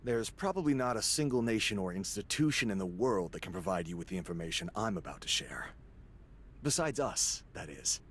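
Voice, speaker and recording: Guy doing confident govt agent in a game cutscene, very lively intonation, low voice, courteous sales pitch. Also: a little hiss or hum, natural voice. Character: smooth, confident male government agent. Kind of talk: video game cutscene acting.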